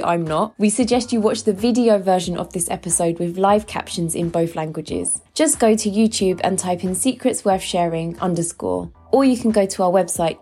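A woman with a British accent hosting a podcast conversation, lively and acting out quoted voices. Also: mid-range voice. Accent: British English. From Britain. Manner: animated, acts out quoted voices. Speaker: podcast host. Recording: echoey room.

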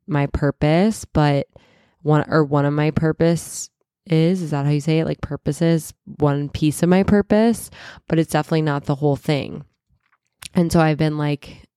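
A woman in her thirties hosting a casual solo podcast, chatty and full of "like"s, a little stuffy and raspy from a cold. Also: deep voice, warm tone, no echo, studio quality. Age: 30s. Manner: chatty, many "like"s and self-corrections. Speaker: podcast host and wellness coach.

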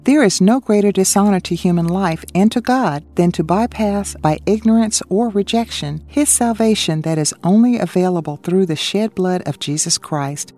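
A woman co-hosting a radio ministry, reading a scripted Bible study in a measured way and citing chapter and verse. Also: medium pitch, dark tone.

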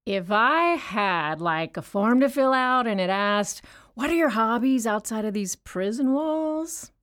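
A woman's voice: a little high, animated, unhurried, fairly bright tone. An actress playing a chatty, rambling woman inmate in an audio drama, telling stories in a wry tone. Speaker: actor in an audio play.

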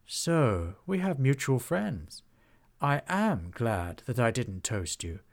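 A man voicing a slow, courteous, ancient female dragon in a storybook reading. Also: mid-range voice, big pitch swings, gravelly, exaggerated voice. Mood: friendly.

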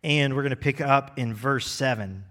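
A young man preaching a sermon as executive pastor, relaxed and joking, with many fillers. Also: medium pitch, normal speed. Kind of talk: casual sermon. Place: church.

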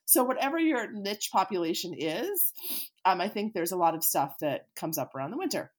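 A woman's voice: medium pitch, husky. Scratchy-voiced woman podcaster with a cold. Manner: chatty, animated, laughs easily, occasional fillers. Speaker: podcast host and therapist. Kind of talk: solo podcast talk, teaching.

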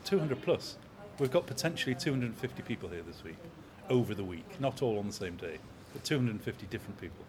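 A man in his sixties, an opera company manager, talking in a measured, anecdotal way in an interview. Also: lowish voice, lively intonation. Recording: crowd chatter in background.

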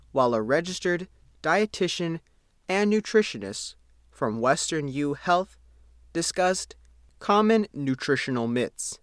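A man's voice: fairly high pitch, a bit bright, no room sound, studio quality. An American man reading a scripted local news report as the reporter. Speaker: newspaper reporter reading own article.

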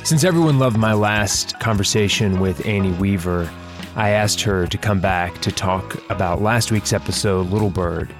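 A man hosting a podcast, talking reflectively about fiction in a thoughtful, halting way with pauses mid-sentence. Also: on the low side, music in the background. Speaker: podcast host and fiction writer. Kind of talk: reflective conversation about a story.

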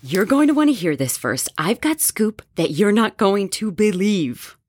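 A woman playing an excitable, bold young roommate who spins gossip dramatically in a horror audio drama. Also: medium pitch, a little slow, breathy edge, no room sound. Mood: excited. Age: young adult. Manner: animated, teasing, dramatic storytelling.

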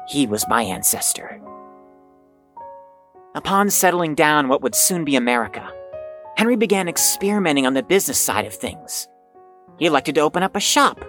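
An actor playing a pompous, proud young heir in an audio drama, reciting family history grandly with dramatic pauses. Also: mid-range voice, fairly bright tone. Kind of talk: theatrical scripted monologue, audio drama. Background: music.

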